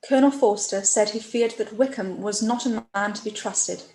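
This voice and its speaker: A woman acting a gentle, anxious young gentlewoman in a period radio play, speaking earnestly, recorded in an echoey, noisy room. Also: mid-range voice, normal speed, lightly acted. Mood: worried, earnest. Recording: echoey room with background noise.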